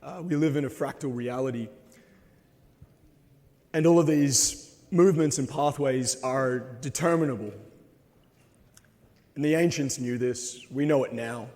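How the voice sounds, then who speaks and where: A man giving a conference talk with slides, slightly hoarse and hesitant, with frequent ums. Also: medium pitch, a little slow, pauses often, a little mellow. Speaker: conference speaker. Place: conference hall. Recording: long hall reverb.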